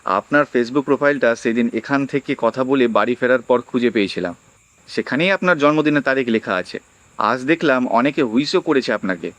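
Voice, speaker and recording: A man's voice: mid-range voice, expressive, a little fast, no room sound, lightly acted. Young male delivery boy played by a male actor, shy, courteous and earnest, audio drama, close dry recording. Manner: deferential, earnest, softly polite. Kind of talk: audio horror story acting.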